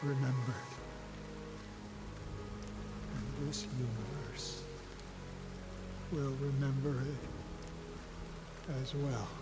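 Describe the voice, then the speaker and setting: Philosophical game figure: male, grave, courteous, melancholy, unhurried and formal, over prominent music. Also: mid-range voice, steady tone. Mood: melancholy, earnest. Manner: formal, deliberate, with weighty pauses. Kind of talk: video game voice acting, formal dramatic delivery.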